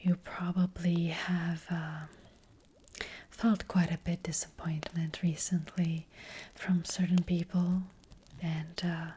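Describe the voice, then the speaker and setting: Whispering, slow, gentle witch fortune teller played by a woman. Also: fairly low pitch, level tone, a little hiss or hum. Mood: gentle, advising. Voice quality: breathy whisper. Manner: gentle, hesitant, slow and reassuring. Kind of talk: soft-spoken whispered ASMR roleplay. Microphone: close ASMR microphone.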